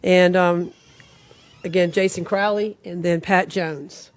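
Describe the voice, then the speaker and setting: A woman's voice: lowish voice, fairly even intonation, slow pace. A woman chairing a county commission meeting, speaking formally into a table microphone with some fillers.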